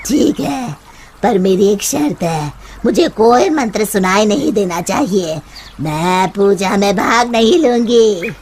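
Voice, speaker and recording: A woman voicing a haughty, menacing river witch in a cartoon story, imperious and taunting with drawn-out threats. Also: medium pitch, lively intonation, a little mellow. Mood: haughty, grudging. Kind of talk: Hindi cartoon story voice acting. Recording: noticeable background noise.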